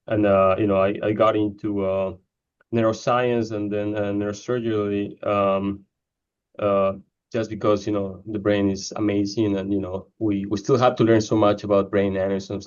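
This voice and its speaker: Halting man from Ecuador, low voice. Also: darkish tone, lo-fi sound. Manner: hesitant, many fillers. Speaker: neurosurgeon researcher. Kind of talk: interview answers.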